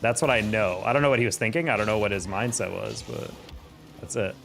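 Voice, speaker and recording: A man's voice: on the low side, fairly quick, gravelly, fairly bright tone, soft background music. A man chatting casually with his viewers while playing a game on a live stream, exasperated and laughing often. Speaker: streamer and caster.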